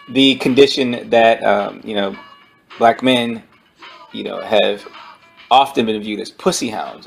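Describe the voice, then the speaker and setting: A man giving an informal spoken book review, explaining his points in a halting way over background music. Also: medium pitch, vocal fry.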